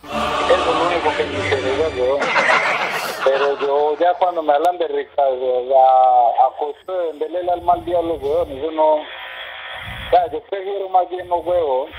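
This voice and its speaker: A man's voice: on the high side, animated, moderate pace, music in the background. A Colombian man with a paisa accent, talking insistently in casual slang on a phone call. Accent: Colombian, Medellín paisa. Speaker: prank call target. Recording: noisy phone call with ambience.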